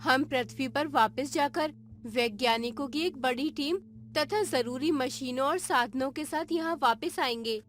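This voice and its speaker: A woman's voice: sits high, measured pace, a bit bright, soft background music. A woman playing a bold, determined woman scientist in a dramatized space adventure story. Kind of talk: dramatized Hindi audio story dialogue.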